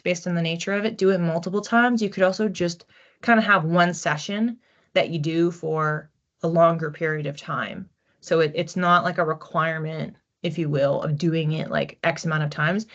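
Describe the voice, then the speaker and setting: A woman's voice: fairly low pitch, dull audio. A woman hosting a psychic card reading in a casual, conversational way, thinking aloud with informal phrasing as she addresses her audience. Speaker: psychic reader hosting a weekly collective reading.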